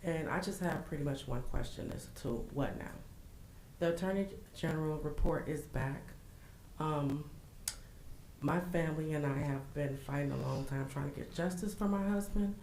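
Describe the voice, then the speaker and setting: A hoarse woman, a little sick, giving an earnest public comment at a council meeting, pausing often with fillers. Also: sits low, a little mellow, slight background noise. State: hoarse from illness. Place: city council chamber. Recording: echoey council chamber.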